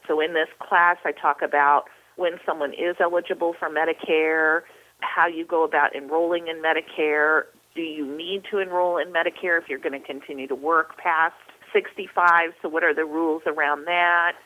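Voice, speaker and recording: A woman speaking as a community educator and radio guest, giving program information in a measured, explanatory way. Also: sits low, steady tone, narrow, tinny audio. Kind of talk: informational announcement in an interview.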